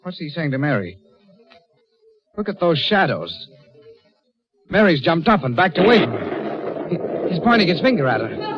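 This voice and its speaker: An actor in an old radio drama playing an earnest, excitable young fiancé, boyish and affectionate. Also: male voice, fairly high pitch, normal speed, long pauses, noisy background. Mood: alarmed. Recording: dull lo-fi old radio recording.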